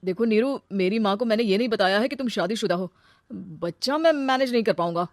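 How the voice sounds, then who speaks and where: A woman's voice: mid-range voice, brisk, no echo, clean studio sound, close to an everyday voice. A woman voicing a young male suitor and husband in a cartoon story, talking in an insistent manner. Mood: concerned, uneasy.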